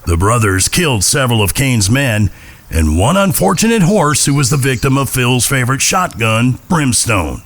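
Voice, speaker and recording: A man's voice: medium pitch, very animated, normal speed, fairly bright tone. A man playing a wry, grandiose Old West storyteller narrating an audio drama. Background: guitar music.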